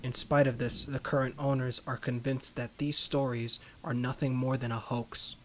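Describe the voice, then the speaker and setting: Even-toned man reading game text aloud. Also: medium pitch, moderate pace, rough recording. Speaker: let's play host. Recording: faint background noise.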